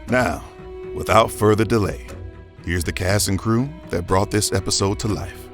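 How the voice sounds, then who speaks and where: A man, reading scripted show credits as host in a folksy, welcoming way. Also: deep voice.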